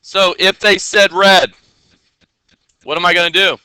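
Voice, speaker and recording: A man's voice: high-pitched, flat delivery, a little slow, sharp tone, smooth, no room sound. A man teaching coding in a casual screen-share tutorial. He talks in a loose, chatty way with many fillers, and keeps checking in with his listeners.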